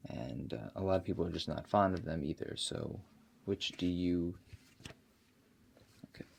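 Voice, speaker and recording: A man with a low voice speaks softly as a doctor in an ASMR roleplay. He puts his questions in an unhurried, reassuring, slightly hesitant way. A light layer of noise sits behind him. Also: vocal fry. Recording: steady audible background noise.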